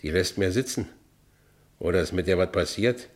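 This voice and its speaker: A man's voice: low-pitched, moderate pace. An actor playing Franz, a broken, stubborn working-class man with a Berlin accent, in blunt short phrases in a radio play. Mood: troubled, bewildered. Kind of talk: first-person radio play narration and acting.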